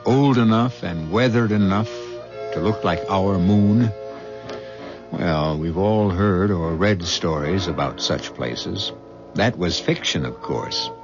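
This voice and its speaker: A man hosting a 1970s radio mystery as a solemn, ominous storyteller, with deliberate and portentous dramatic phrasing. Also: lowish voice. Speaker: host narrator. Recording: muffled radio broadcast with music.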